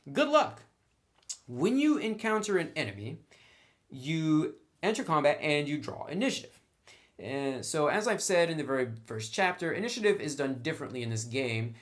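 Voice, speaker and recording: Male game reviewer, medium pitch. Conversational, instructional read, talking directly to the viewer. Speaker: tabletop game reviewer and presenter. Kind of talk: casual explanatory review.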